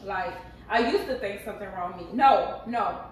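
A woman's voice: mid-range voice, normal speed, very echoey, recorded from a distance, a little hiss or hum. A woman, chatting candidly and animatedly to her viewers while cooking in her kitchen. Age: 30s. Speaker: vlogger. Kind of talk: casual chat while cooking. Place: home kitchen.

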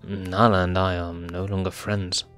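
A man's voice: deep voice, little pitch movement, a little slow, a bit bright, clear, resonant tone, faint music behind, pitched below the performer's own voice. A man voicing a playful, hapless young male lion cub in an amateur comic dub, stammering and hesitant. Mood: sheepish, dejected. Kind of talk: amateur comic fandub acting.

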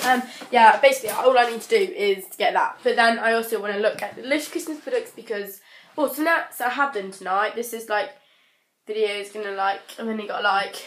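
A young woman with a croaky cold voice, chatting casually to a camera held at arm's length. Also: medium pitch, a bit bright. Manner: rambling, chatty, lots of "like" and "so". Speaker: vlogger. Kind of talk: casual vlog chat. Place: bedroom, then parked car. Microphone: handheld camera at arm's length.

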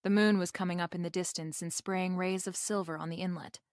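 A woman playing a brisk, bossy, wisecracking private detective in an old-time radio-style mystery drama. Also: fairly low pitch, fairly even intonation, no room sound, clean studio sound, natural voice. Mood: wry, narrating.

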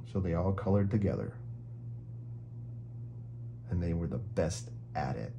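A man reading a children's picture book aloud in an expressive storytelling manner. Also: low voice, measured pace, gravelly, a little hiss or hum. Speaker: story reader.

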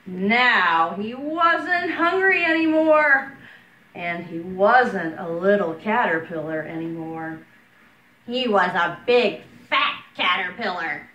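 A middle-aged woman, a preschool teacher talking and reading a picture book aloud to young children in an expressive, deliberate way. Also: medium pitch. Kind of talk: picture-book read-aloud with chatty announcements. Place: home room at a wooden table, indoors. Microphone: camera across the table. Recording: roomy, distant mic, background room noise.

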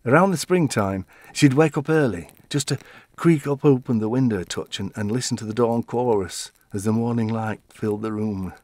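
A man acts out an old widower confiding his story in a radio-play monologue. His voice sits at a medium pitch, gentle and wistful, with a fussy defensive streak. He pauses and restarts as he reflects, recorded close with little room sound. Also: dry recording, natural voice. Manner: reflective, halting storytelling with animated quoting.

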